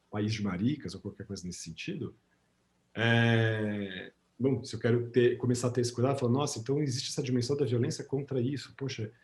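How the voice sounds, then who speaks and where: A man with a Brazilian Portuguese accent speaking on a video call, reflecting slowly in conversation, with many pauses and restarts. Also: mid-range voice, darkish tone.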